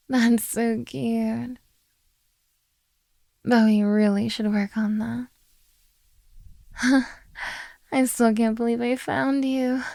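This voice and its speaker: Woman doing a possessive beast girl mommy for asmr, soft teasing murmur, real even tone, lots of creak. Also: fairly high pitch, no echo, clean studio sound. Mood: fond, possessive. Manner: slow, soft teasing murmur slipping into whispers. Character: possessive, teasing beast-girl monster, motherly and dominant captor. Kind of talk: ASMR roleplay, intimate and close. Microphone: close ASMR microphone.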